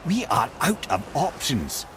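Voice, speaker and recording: An actor with a Scottish accent playing a witty old talking head, a wry, plain-spoken and chatty advisor in a video game. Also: male voice, a little high, huge pitch swings, takes it slowly, background noise, exaggerated voice. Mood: wry, concerned.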